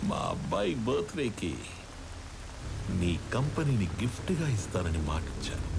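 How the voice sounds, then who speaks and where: An actor playing a menacing, mocking gangster with a sarcastic, theatrical delivery in an action film. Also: male voice, medium pitch. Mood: taunting threat. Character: menacing, mocking male gangster threatening rivals calmly. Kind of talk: Telugu action film dialogue. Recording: loud film score over dialogue.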